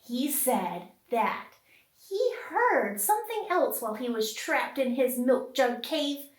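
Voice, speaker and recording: A woman hosting a puppet storytime, talking to young children in an exaggerated, sing-song way with questions and dramatic pauses. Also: sits high, expressive, echoey room.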